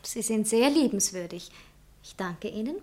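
An actress playing a passionate, self-dramatizing young bride-to-be in a satirical radio play. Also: female voice, medium pitch, animated, moderate pace, a little mellow, lightly acted. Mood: guarded. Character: passionate young bride-to-be who writes novels. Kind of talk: theatrical literary satire dialogue.

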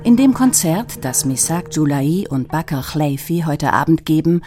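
A woman reading a scripted documentary narration in a measured, even way. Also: sits low, soft background music.

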